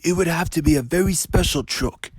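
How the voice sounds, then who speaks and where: A man's voice: a little high, fairly quick, breathy edge, no echo, studio quality, pitched above the performer's own voice. A man voicing a polite, earnest little male diesel engine in a children's storybook reading. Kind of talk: storybook character voice.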